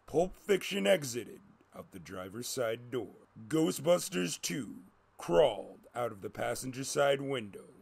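A man's voice: mid-range voice, vocal fry, husky. A man reading a scripted story as a dramatic narrator.

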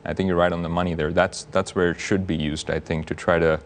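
A man in his thirties, a cardiologist guest on a TV news studio set, explaining things in a measured, clear way on a lapel mic. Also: sits low, level tone, slight background noise. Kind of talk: TV studio interview.

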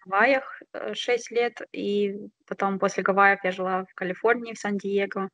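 A woman's voice: mid-range voice, steady tone, normal speed, fairly bright tone, no echo. A woman in her thirties, an interview guest talking casually over a video call, laughing often and using many fillers. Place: indoors, close to camera. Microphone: webcam on a video call. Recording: dull lo-fi video call audio.

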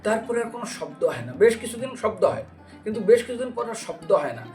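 High-voiced man preaching, distant mic. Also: fast. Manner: animated retelling with acted dialogue and questions to listeners. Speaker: religious preacher and storyteller. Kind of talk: devotional lecture told as storytelling. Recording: distant mic, music behind the voice.

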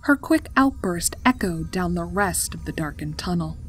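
A woman's voice: fairly low pitch, lively intonation, moderate pace, a bit bright. A woman narrating a scripted story as its storyteller. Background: music.

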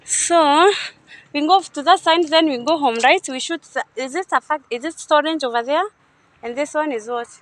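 A young woman talks the viewer through a farm in a loose, wandering way, often trailing off to guess. Her voice sits high. Light outdoor noise hums behind her on a phone mic. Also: normal speed. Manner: chatty, unsure, thinking aloud. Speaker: vlogger touring a coffee farm. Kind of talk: casual guided walk-through. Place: outdoors at a coffee farm and factory. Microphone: phone camera held by speaker.

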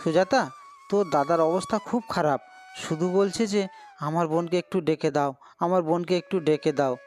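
Concerned male village friend acted by a man, cartoon; high-pitched, urgent, familiar, anxious. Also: huge pitch swings, fairly quick, darkish tone. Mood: worried. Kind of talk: Bengali moral-story cartoon acting.

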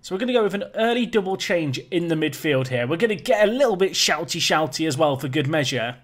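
A man giving chatty, joking live commentary over a football management game, his voice a little raspy today. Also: fairly high pitch, lively intonation, quick pace. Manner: chatty, joking, self-deprecating. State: slightly raspy voice. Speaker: gaming YouTuber.

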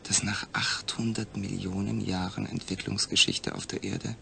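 A man acting in a German audio drama as a calm, rational astronaut, speaking in a measured, explanatory way. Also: deep voice, vocal fry, a little hiss or hum, exaggerated voice. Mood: pensive, hushed.

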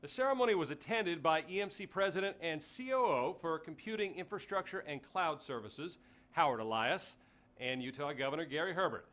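A man's voice: a little high, animated, a little fast, fairly bright tone. A man presenting a scripted corporate news report from a studio.

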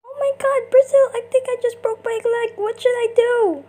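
A woman's voice: very high voice, steady tone, moderate pace, mellow. A woman doing an amateur comic dub, acting out short character lines theatrically in switching voices.

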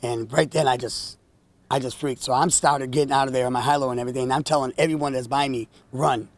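A man's voice: mid-range voice, fairly even intonation, fast, dry recording. A middle-aged man with a Detroit-area American accent, a factory worker speaking plainly and shaken in an outdoor interview.

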